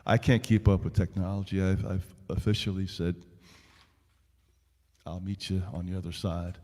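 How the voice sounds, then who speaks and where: An American man, a singer-songwriter chatting casually between songs at a live show, halting and rambling in a self-deprecating way. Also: low-pitched, little pitch movement, mellow, big-room sound. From United States.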